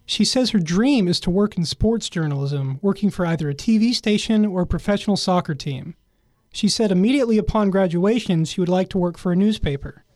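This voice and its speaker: A man reading a scripted news feature as the reporter, in a measured way. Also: sits high, darkish tone, no echo.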